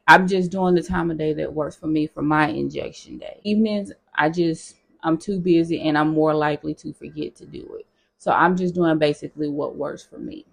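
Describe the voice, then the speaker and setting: A nurse chatting casually with her viewers in a how-to vlog, talking straight to them as y'all, her voice hoarse. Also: sits low, fairly even intonation, normal speed. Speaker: nurse sharing her own experience.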